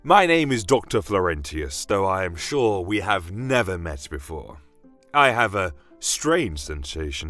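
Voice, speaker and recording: Deep-voiced theatrical fantasy doctor, acted by a man. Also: fairly bright tone, no room sound. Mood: warm, festive. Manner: formal, florid, theatrical delivery. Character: genial, theatrical fantasy doctor and alchemist, welcoming storyteller. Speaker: in-character narrator. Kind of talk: scripted in-character fantasy storytelling.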